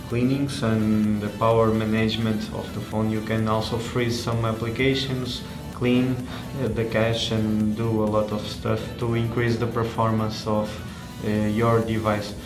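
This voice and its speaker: A male tech reviewer, not a native English speaker, talks through a product demo in an unscripted, halting way. His delivery is slow and quite monotone, often searching for the next word. The room sounds echoey. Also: medium pitch. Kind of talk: unscripted hands-on product demonstration. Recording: echoey room with music behind.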